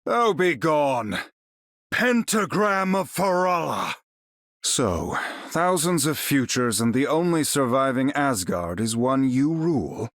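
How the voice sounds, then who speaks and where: An actor playing a proud, battle-ready sorcerer hero in a video game, speaking in a grandiose, formal, theatrical manner. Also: male voice, medium pitch, very animated, slow pace, a bit bright, dry recording, studio quality. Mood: commanding, urgent. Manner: grandiose, formal, incantatory delivery. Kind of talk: video game character voice lines.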